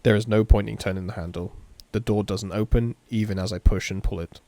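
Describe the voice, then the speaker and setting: Male let's-play reader, lower register, theatrical and expressive, narrates game text with off-the-cuff reactions. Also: a little fast, no echo. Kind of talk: reading a visual novel aloud with reactions.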